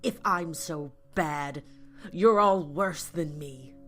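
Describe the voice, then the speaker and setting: A woman's voice: low voice, takes it slowly, a bit bright, soft background music, exaggerated voice. A woman playing a bratty, spiteful monstrous aunt in a horror audio story, whining and hissing theatrically. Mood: indignant fury.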